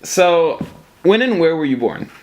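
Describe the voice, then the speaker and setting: A man's voice: a little high, big pitch swings, a little slow, rough recording. A young man interviewing a relative, hesitant, stumbling through his questions. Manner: hesitant, many fillers and restarts. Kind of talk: casual family interview.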